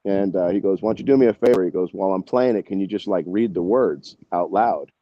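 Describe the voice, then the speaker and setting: A man's voice: low voice, fast talker, highs cut off. A man talking casually as an interview guest, a singer telling stories in a rambling, slangy, salty way. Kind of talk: casual interview chat, anecdotes.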